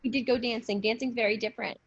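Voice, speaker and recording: A woman's voice: a little high, fairly even intonation, quick pace, slightly rough, dry recording. A woman talking casually and informally in a group conversation. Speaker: podcast cast member. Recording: dull lo-fi audio.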